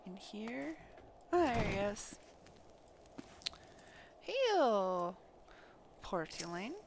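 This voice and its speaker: Woman game streamer reading quest text out loud, really lively pitch swings, takes it slow, bit of background noise. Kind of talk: livestream game playthrough, reading dialogue aloud.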